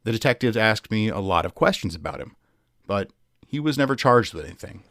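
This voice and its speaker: A man's voice: fairly low pitch, expressive, a little fast, vocal fry, fairly bright tone, no room sound, clean studio sound. A man giving a scripted dramatic reading of a true crime newspaper article, as narrator.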